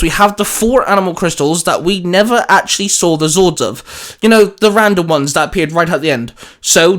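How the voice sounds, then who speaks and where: A man's voice: fairly high pitch, fairly bright tone. A man hosting a casual fan discussion in a chatty, informal way. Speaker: host.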